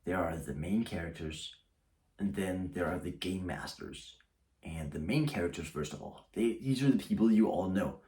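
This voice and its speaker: A young man speaks casually to camera in a deep, low voice. He rambles, often breaking off and restarting his sentences as he shares his thoughts. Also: normal speed, warm tone. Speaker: YouTuber.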